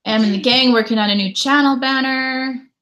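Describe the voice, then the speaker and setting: A woman's voice: high-pitched, fairly even intonation, normal speed, a bit bright. A woman from Canada in her thirties, hosting a casual live stream from home and chatting with viewers as she reads out their comments. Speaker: YouTube host.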